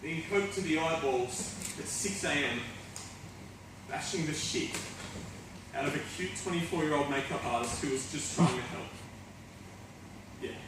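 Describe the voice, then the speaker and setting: A man's voice: on the high side, unhurried, pauses often, noisy background, close to an everyday voice. A man playing a fallen self-help guru in a theatrical monologue, intense, volatile, sardonic and profane, with a confessional bitterness. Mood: rueful. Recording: echoey room, distant mic, music behind.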